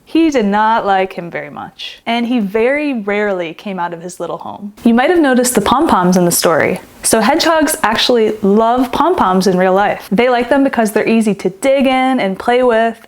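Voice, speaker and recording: Woman author reading a storybook aloud. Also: mid-range voice. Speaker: children's author reading their own book. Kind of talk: expressive storybook read-aloud and friendly chat to young listeners.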